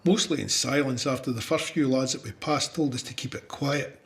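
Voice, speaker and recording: A man's voice: medium pitch, normal speed, gravelly, fairly bright tone. A man playing a young Scottish soldier who recounts trench warfare in a candid, wry, conversational way for an audio drama. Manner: conversational storytelling. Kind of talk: first-person audio drama narration.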